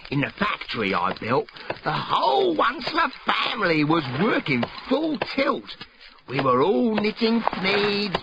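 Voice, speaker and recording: A man narrating a rhyming storybook in a dramatic, expressive, theatrical way. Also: high-pitched, gravelly, heavy background noise. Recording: dull lo-fi recording with loud music.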